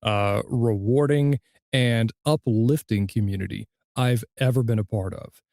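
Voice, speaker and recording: A man hosting a casual podcast interview in an affirming, measured manner. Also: medium pitch, creaky, a little mellow, dry recording, studio quality. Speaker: podcast host, audiobook narrator.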